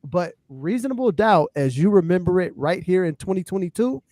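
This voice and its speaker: Higher-voiced man breaking down hip-hop, dry sound. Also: animated, a little fast, mellow, no room sound. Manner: emphatic, explanatory, frequent tag questions like "right?" and "okay". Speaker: podcast co-host. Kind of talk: casual hip-hop album debate.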